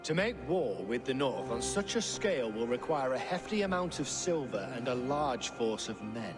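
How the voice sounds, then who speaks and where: A man's voice: medium pitch, very animated, moderate pace, natural voice. Guy doing a sly silky puppet king, dodgy and wry, game audio with reverb, music under. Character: sly scheming middle-aged Saxon puppet king.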